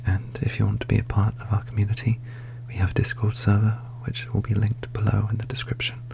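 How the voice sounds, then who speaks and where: A man hosting a channel, reading a scripted ASMR intro in a hushed, breathy manner. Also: on the low side, monotone, brisk. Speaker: channel host.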